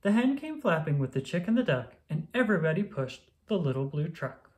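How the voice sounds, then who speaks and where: A person reading a rhyming children's picture book aloud with expressive, measured storytelling. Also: medium pitch. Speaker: storybook reader.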